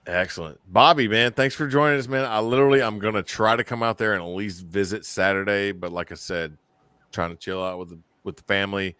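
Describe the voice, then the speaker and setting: A man's voice: fairly low pitch, fairly quick, pushed and bright, clear, resonant tone, dry recording. A man from Alabama hosting a casual live-stream podcast, rambling and joking with chat. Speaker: podcast host.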